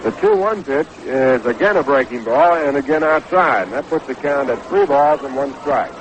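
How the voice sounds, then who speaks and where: A man doing measured, unhurried live baseball play-by-play commentary on a 1960s radio broadcast. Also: medium pitch, expressive, a little hiss or hum, low-quality recording. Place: stadium broadcast booth.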